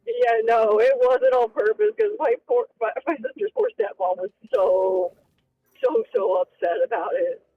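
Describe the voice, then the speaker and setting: Very high-pitched, lively man on a dull mic. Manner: laughing storyteller, quick reactions. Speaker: streamer. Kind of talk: casual chat.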